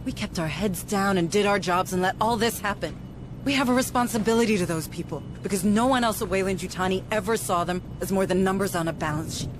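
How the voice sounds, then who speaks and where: A woman's voice: mid-range voice, quick pace, background noise, natural voice. A woman playing a resolute, duty-bound commander with a firm, serious manner in a sci-fi game cutscene. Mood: solemn resolve. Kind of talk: video game cutscene acting.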